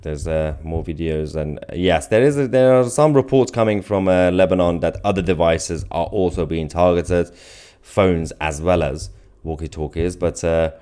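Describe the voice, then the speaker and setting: A man's voice: sits low, moderate pace, dark tone, clean tone. A man hosting a live stream in an informal, off-the-cuff way, reading out viewer comments and giving casual commentary.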